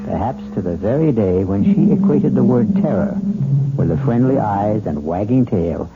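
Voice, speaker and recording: A man narrating a radio mystery drama in a measured, grave manner, on an old broadcast recording. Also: lowish voice, lively intonation, phone-quality sound, background noise.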